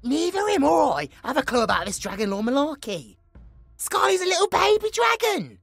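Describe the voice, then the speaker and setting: Lively woman, acting a cheeky adventurer. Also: on the high side, brisk, breathy edge, no room sound, exaggerated voice. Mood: shaken, chatty. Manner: informal, cheeky, British slang. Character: cheeky, irreverent young human woman with baby dragon companion. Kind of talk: fantasy audio drama acting.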